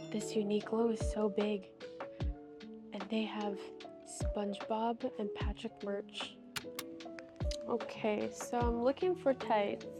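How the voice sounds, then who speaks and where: A woman's voice: medium pitch, fairly even intonation, takes it slowly, pauses often, loud music behind the voice. A woman in her thirties from Washington state, chatting casually and a little formally while vlogging through a shopping mall with a handheld camera. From Washington state, USA. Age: 30s. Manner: chatty, giggly, slightly formal phrasing. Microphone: handheld gimbal camera.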